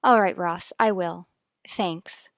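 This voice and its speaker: A woman's voice: on the low side, takes it slowly, fairly bright tone, no echo, studio quality. A woman playing a troubled, guarded wife and mother in an old-time radio soap, restrained and evasive in manner. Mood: polite.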